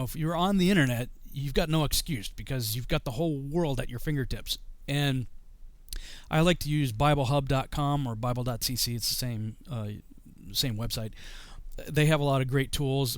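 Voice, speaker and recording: A man's voice: mid-range voice, no room sound. A middle-aged man on a video call from his home study, explaining things in a loose, rambling way with many fillers and restarts. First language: English. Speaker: guest explaining scripture.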